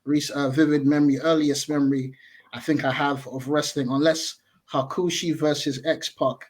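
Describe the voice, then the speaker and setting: A man's voice: a little high, flat delivery, normal speed. Man, 30s, podcast guest on a video call; musing, hedging delivery in a slightly raised voice. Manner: thoughtful, hedging, self-correcting. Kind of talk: casual podcast chat over video call.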